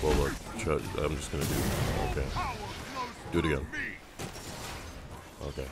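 Male gamer commentator, extremely low voice, sardonic and sweary, loud game music underneath. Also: level tone, normal speed. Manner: sardonic, muttering complaints, profane. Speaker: gameplay commentator. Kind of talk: casual gaming commentary. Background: video game music.

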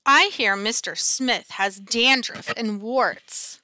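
Bright-voiced woman reading a storybook. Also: fairly high pitch, rough recording. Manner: dramatic, deliberate storytelling. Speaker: teacher reading aloud. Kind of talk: expressive picture-book read-aloud. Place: small classroom, indoors. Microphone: headset.

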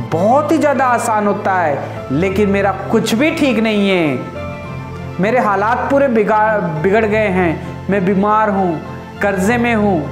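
A man in his thirties preaching with fervent energy and sweeping gestures, addressing brothers and sisters. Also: sits high. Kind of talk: Hindi sermon. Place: indoor studio before printed backdrop. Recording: roomy sound with music underneath.

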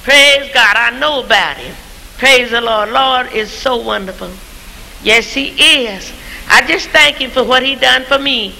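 A woman's voice: a little high, a little slow, gravelly, pushed and bright, a little hiss or hum, low-quality recording. A woman in her seventies, a church mother, giving a fervent testimony full of praise in a church, on an old tape recording. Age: 70s. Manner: fervent, exclaims praise often. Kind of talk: church testimony and gospel singing. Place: church sanctuary.

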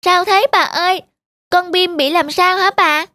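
Very high-voiced, earnest little boy. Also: steady tone, unhurried, pushed and bright, no echo, studio quality, full character voice. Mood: upset, pleading. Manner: childlike, earnest, pleading. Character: affectionate, pleading little village boy attached to his dog. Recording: music behind the voice.

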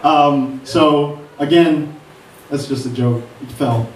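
A man's voice: on the high side, steady tone, slow pace. A man, a beat producer, giving a rambling, grateful and self-deprecating speech on a mic at a live event. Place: event hall with an audience. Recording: echoey hall mic from far off.

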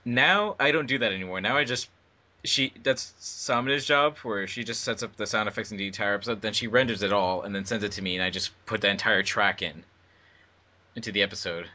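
A man's voice: lowish voice, fairly quick, pushed and bright. A man chatting as an editor on a casual commentary track, often repeating words as he starts.

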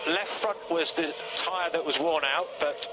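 A man working as a race engineer, giving clipped, measured briefings to a driver over team radio. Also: on the high side, heavy background noise.

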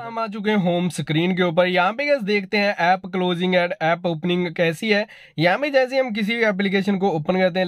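A man's voice: high-pitched, no echo, studio quality. A man casually narrating a phone update review, repeatedly addressing viewers in a repetitive, familiar way. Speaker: tech reviewer, video host.

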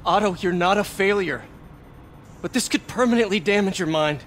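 Young male scientist for a game: brainy, caring, slightly high voice, hesitant, faint background noise. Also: normal speed, fairly bright tone. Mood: worried. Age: young adult. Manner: hesitant, earnest, naturalistic.

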